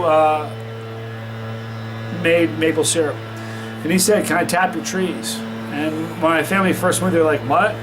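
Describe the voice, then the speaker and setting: Chatty vermont guy rambling thru a sauce review, kinda high voice, lots of um and restarts. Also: poor audio. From Vermont, USA. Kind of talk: casual review vlog.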